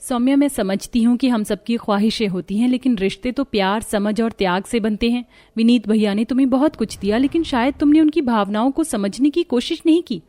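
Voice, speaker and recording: Earnest, long-suffering wife, mellow and high, woman acting. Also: a little fast, hardly any pauses, natural voice. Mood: gentle, advising. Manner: earnest, pleading. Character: humble, devoted, long-suffering wife, sincere and forgiving.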